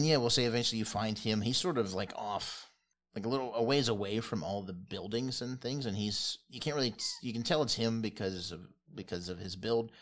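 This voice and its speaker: A man's voice: on the low side, fairly bright tone. A man acting as game master, narrating a fantasy tabletop game in a casual, rambling, improvised way.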